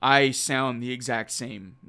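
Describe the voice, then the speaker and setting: A man hosting a casual live stream from a quiet home room on a condenser mic, rambling and self-deprecating with frequent laughs. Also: medium pitch, fairly even intonation, slow pace, clean tone. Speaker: host of a live stream show. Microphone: USB condenser mic.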